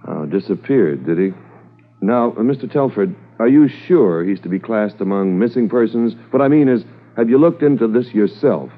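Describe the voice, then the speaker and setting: Low-voiced weary police commissioner, old radio. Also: male voice, animated. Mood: businesslike. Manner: measured, formal, world-weary. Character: weary, conscientious senior police commissioner, male. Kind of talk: 1950s radio drama acting. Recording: muffled 1950s radio recording.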